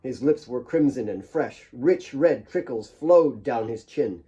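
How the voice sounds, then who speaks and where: A man's voice: medium pitch, animated, a little slow, warm tone, dull audio. A man reading dramatic scripted fiction as an author narrating his own audiobook.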